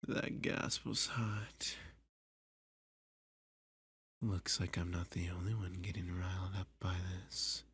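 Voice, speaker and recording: A man playing a dominant, flirty, possessive villain kidnapper in an ASMR roleplay, teasing and seductive, with a breathy, husky voice that often drops to a whisper. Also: low-pitched, fairly even intonation, fairly bright tone, dry recording, heavily acted. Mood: menacing, seductive. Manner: slow, teasing, seductive, with pet names. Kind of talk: ASMR roleplay addressed to the listener.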